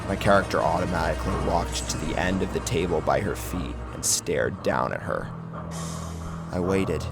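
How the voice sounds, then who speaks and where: A man's voice: deep voice, normal speed. A man narrating a first-person horror story in an intense, theatrical, scripted style. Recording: clear music bed under narration.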